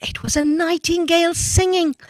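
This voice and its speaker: A woman telling a children's story, animated and talking directly to the children. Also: very high voice, normal speed, dry recording, studio quality.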